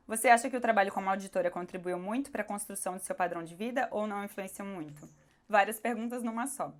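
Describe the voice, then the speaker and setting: Casting: female Brazilian vlogger, slightly hoarse, mid-pitched, candid and conversational in a casual Q&A. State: hoarse, recovering her voice. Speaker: YouTuber answering viewer questions. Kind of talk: casual question-and-answer vlog.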